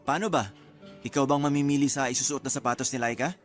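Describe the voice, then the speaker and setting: A man's voice: mid-range voice, fast talker, lightly acted. A man with a Filipino accent acting an apologetic, easygoing groom-to-be in a radio drama. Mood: easygoing, unsure. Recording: music bed under the voice.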